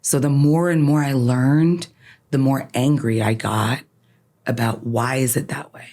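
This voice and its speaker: A woman's voice: very deep voice, fairly even intonation, measured pace, dark tone. Earnest woman attorney, says "right?" a lot. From Canada. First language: Farsi. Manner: earnest, emphatic, often ends points with "right?". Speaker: guest, attorney. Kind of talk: podcast interview, personal reflection.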